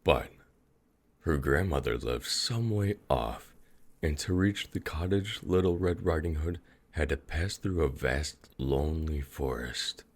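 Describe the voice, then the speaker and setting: A man narrating a fairy tale as a storyteller, in a measured, atmospheric manner. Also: rumbling low, animated.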